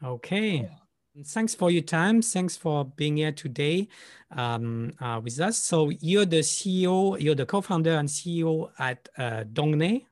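A man's voice: on the high side, lively intonation, unhurried, a little mellow, no echo, studio quality. A man hosting a podcast interview, asking questions with hesitant repeated words. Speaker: podcast host.

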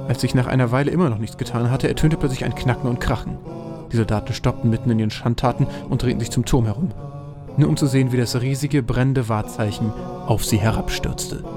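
Brisk male storyteller over music. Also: medium pitch. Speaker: narrator. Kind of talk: scripted audio drama narration.

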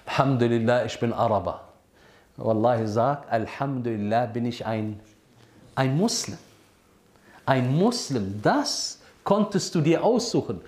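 German-speaking preacher in an echoey room. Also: male voice, mid-range voice, expressive, measured pace, darkish tone. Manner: asks the audience questions and answers them himself; mixes Arabic phrases into his talk. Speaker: Islamic preacher. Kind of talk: sermon lesson to a congregation.

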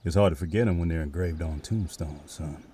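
An actor playing a weary, devout older cavalry sergeant in a western audio drama, measured and folksy. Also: male voice, rumbling low, mellow, slight background noise. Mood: weary, frank. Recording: music behind the voice.

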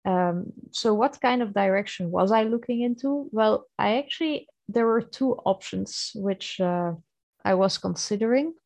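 A woman's voice: mid-range voice, unhurried, creaky, darkish tone, no echo. Chatty Flemish woman podcast host. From Belgium. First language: Dutch. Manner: earnest, chatty, frequent fillers and restarts. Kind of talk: casual solo podcast monologue.

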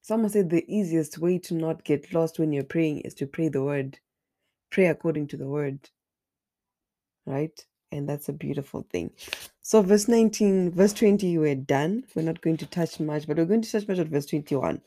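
A woman with a hoarse, raspy voice from a flu, earnestly leading an online Bible study and sometimes repeating words as she searches for the next one. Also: low voice, moderate pace, dark tone.

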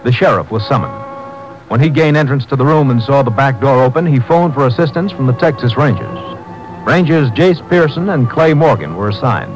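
Actor as mid-pitched radio crime announcer. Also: male voice, animated, fairly quick, faint music behind, close to an everyday voice, low-quality recording. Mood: formal, factual. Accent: American. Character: formal male announcer narrating a police case. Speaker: announcer and narrator. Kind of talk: 1950s radio scripted narration.

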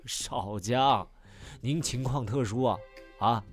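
A man's voice: mid-range voice, takes it slowly, close to an everyday voice. A man playing a polite, fussy scientist who explains things formally and with awkward tact in an audio drama. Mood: polite, insistent. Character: polite, fussy male scientist acting as matchmaking consultant. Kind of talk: Chinese audio drama acting. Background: music.

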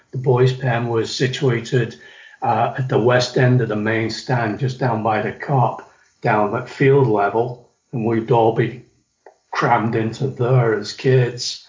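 A man's voice: medium pitch, fairly even intonation, unhurried, a little mellow. Man, middle-aged, storytelling, echoey room, dull sound. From England. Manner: storytelling, reflective, with some restarts. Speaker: guest. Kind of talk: reminiscing interview talk. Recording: echoey, slightly dull recording.

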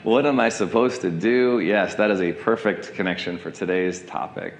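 A man's voice: low-pitched, normal speed, roomy sound. Rambling pastor in his forties. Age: 40s. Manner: rambling, with many restarts and asides. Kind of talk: conversational sermon.

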